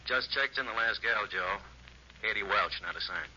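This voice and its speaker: A man playing a brisk police officer reporting in clipped procedural phrases over a car radio in a 1940s radio drama. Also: lowish voice, bright, forceful tone. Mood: businesslike. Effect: police radio filter. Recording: muffled police radio effect, old broadcast noise.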